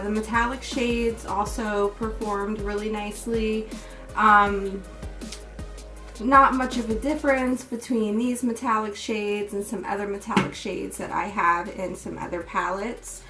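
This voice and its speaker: A woman with a slightly raised pitch and a little hoarseness talks casually through a makeup look, step by step and full of fillers. She sits back from the microphone, and clear music plays behind her. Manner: relaxed, step-by-step, with many fillers. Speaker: beauty vlogger. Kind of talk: casual makeup tutorial and review. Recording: distant mic with music playing behind.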